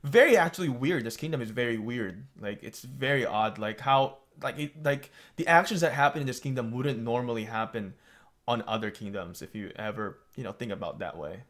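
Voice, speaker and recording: Man, host, casual chat, mid pitch, thoughtful, halting repeats, light chuckles. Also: a bit bright. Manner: reflective, repeats words as he thinks, chuckles. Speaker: channel host.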